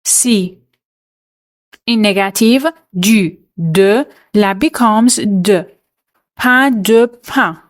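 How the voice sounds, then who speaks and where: A woman reading out a French quiz for learners, pronouncing each answer choice and explanation in a measured, scripted way. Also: medium pitch. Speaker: French teacher.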